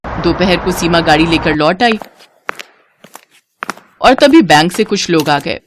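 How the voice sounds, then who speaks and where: A woman's voice: fairly low pitch, lively intonation, a little slow, background noise. A woman storyteller narrating a scripted moral story.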